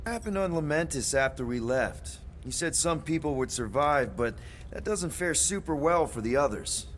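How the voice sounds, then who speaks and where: A man playing an animated, wisecracking space hero. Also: a little high, moderate pace, a little hiss or hum, natural voice. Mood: earnest. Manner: casual, sardonic, expressive. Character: wisecracking human man, scrappy leader of misfit space heroes. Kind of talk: video game cutscene acting.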